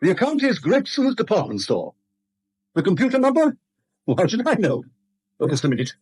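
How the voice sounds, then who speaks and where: A fussy, high-voiced English bank official. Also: male voice, huge pitch swings, fairly quick, darkish tone, slightly rough, heavily acted. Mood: exasperated, indignant. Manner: officious, indignant, snappish. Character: fussy, exasperated male assistant bank manager. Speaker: actor. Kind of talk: 1970s British radio drama acting.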